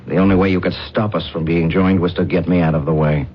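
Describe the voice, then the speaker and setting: An actor playing a proud, bitter disgraced alien space captain in a radio drama, with formal, theatrical defiance. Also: male voice, low-pitched, steady tone, fast talker, lightly acted. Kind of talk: old-time radio drama acting. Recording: dull, noisy radio drama recording.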